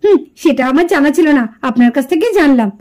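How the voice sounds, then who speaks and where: A woman voicing a proud young village woman in a puppet drama, high-pitched with lively swings. She is sharp-tongued and jealous, answering curtly and muttering with scornful sarcasm. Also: quick pace. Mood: indignant. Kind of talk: puppet cartoon drama acting.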